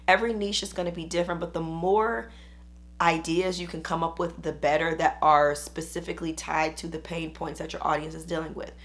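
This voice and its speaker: Hoarse woman, 30s, business coach; rough, gravelly, lowish voice, upbeat and chatty. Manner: chatty, upbeat, uses "y'all". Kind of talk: casual talk to camera.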